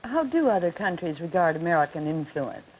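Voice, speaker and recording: 1950s TV woman moderator, brisk and polite. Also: lowish voice, very animated, loud music behind the voice, poor audio. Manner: brisk, polite questioning with light laughs. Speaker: moderator of a televised student discussion. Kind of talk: 1950s television panel moderating. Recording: old 1950s television audio.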